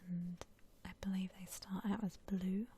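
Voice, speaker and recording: A woman whispering softly in a breathy, gentle, unhurried way, musing aloud as she gives ASMR commentary on a game. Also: mid-range voice, little pitch movement, a little hiss or hum. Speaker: host of a gaming ASMR channel.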